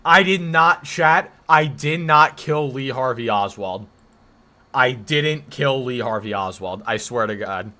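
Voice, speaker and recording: A young man of twenty with a deep voice that still cuts through with a bright, forceful edge. He hosts a live stream and talks casually, bantering with his chat and defending himself in a joking, rambling way. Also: a little slow. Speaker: livestream host.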